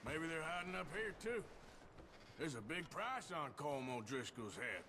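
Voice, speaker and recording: Gruff male outlaw, noisy background. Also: a little high, big pitch swings, a little slow. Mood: wary. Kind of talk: video game acting. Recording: clearly noisy game ambience behind.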